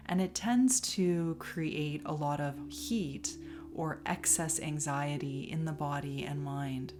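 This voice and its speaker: A woman leading a guided relaxation as a yoga teacher, calm and soothing, leaving long pauses between phrases. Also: deep voice. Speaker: yoga nidra instructor. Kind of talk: guided meditation, relaxation instruction. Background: music behind the voice.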